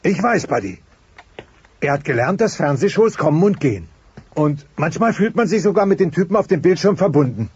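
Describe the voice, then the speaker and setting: A man's voice: fairly high pitch, very animated, fairly quick, a little mellow. An actor playing a bumbling, sheepish dinosaur father in a cartoonish audio play, earnest, hesitant and prone to stammering. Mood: sincere. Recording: noisy, dull lo-fi cassette.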